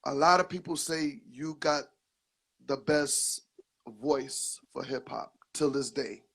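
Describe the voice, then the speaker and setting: Stammering male interviewer, slightly high voice. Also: slow, fairly bright tone. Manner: stammering, repeats words before questions. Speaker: interviewer, host. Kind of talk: casual interview talk.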